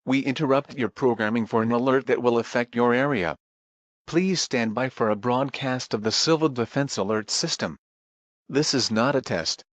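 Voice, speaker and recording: A man's voice: medium pitch, normal speed, no room sound, lightly acted. A man making a formal scripted announcement as an emergency broadcast announcer.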